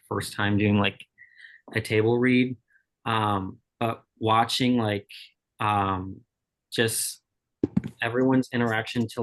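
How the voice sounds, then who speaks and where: A young adult newer actor speaking casually and haltingly, with many fillers, on a video call from home. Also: medium pitch, fairly bright tone, poor audio. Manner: hesitant, filler-heavy with many "like"s. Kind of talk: casual group discussion.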